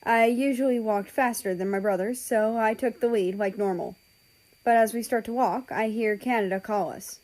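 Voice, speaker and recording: A woman's voice: fairly high pitch, fairly even intonation, normal speed, a little mellow, low-quality recording. A woman reading a first-person story aloud as scripted narration. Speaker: fan fiction reader.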